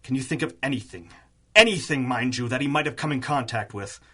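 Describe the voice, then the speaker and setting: A man's voice: medium pitch, fairly quick, fairly bright tone, breathy edge. A man playing a cautious, kindly doctor in a 1930s-style radio drama, speaking in a grave, formal manner. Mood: grave, concerned.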